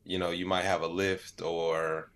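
A man's voice: deep voice, little pitch movement, a little slow, fairly bright tone. A man speaking as an interview guest, telling stories in a casual, rambling way with lots of "like" and "you know". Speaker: interview guest, comedian and AI storyteller. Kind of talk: casual conversational storytelling.

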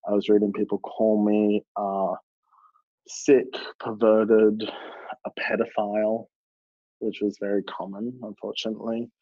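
A young man with an Australian accent speaks earnestly and reflectively, pausing on fillers as he thinks aloud. His voice sits a little low, and the recording sounds dry and slightly muffled. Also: little pitch movement, dry recording. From Melbourne, Australia. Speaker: guest, drag performer. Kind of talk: casual podcast interview.

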